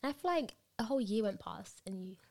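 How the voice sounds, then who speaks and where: A playful young British woman co-hosting a casual podcast, her pitch swinging up and down as she talks. She giggles easily, and the sound is dry and close, with no room echo. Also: lively intonation, fast, a little mellow. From Zimbabwe. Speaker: podcast co-host. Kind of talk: casual chat.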